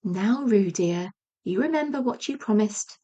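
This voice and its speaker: A woman voicing a kind, patient mother kangaroo in a children's story, gently coaxing. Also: mid-range voice, lively intonation, measured pace, muffled recording.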